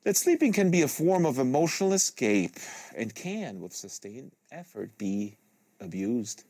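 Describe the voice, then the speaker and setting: A man's voice: mid-range voice, darkish tone, dry recording. Man reading literary prose, very dry sound. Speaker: host reading a novel aloud. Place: small studio with acoustic foam panels. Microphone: close studio microphone.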